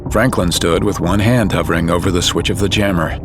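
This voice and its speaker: A man narrating a story in a calm, measured, scripted way. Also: low-pitched, noisy background. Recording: music under narration.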